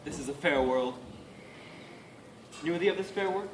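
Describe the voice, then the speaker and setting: Blunt, commanding knight acted by a man, declamatory, somewhat high-pitched, noisy amateur stage recording. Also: normal speed, close to an everyday voice. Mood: accusing. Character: blunt, commanding knight, defiant peacekeeper among angry lords. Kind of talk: amateur Shakespearean stage acting. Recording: echoey, noisy hall, distant microphone.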